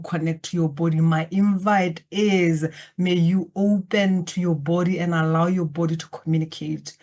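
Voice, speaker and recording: A woman from Botswana, a native Setswana speaker, speaking as a podcast guest in a slow, calm, reflective way that addresses the listeners directly. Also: lowish voice. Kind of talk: guided reflective invitation. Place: remote, in Germany.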